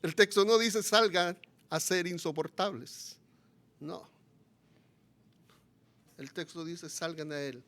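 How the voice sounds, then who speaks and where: A man with a Chilean accent, preaching a sermon as pastor, swinging between loud, impassioned appeals and quiet, low passages. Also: takes it slowly, long pauses.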